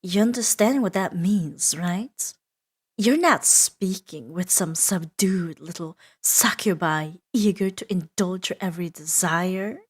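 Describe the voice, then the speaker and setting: A woman voicing a seductive, menacing and playful female demon in a roleplay ASMR audio drama, teasing and imperious, drifting into breathy whispers. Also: on the low side, takes it slowly. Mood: smug, amused.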